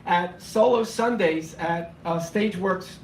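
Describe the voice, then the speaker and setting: A man reading his spoken word poetry at a cafe open mic, impassioned and declamatory, his voice slightly hoarse and weak. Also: high-pitched, takes it slowly, slight background noise. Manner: impassioned, declamatory, rhythmic repetition. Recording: echoey room, voice from far off.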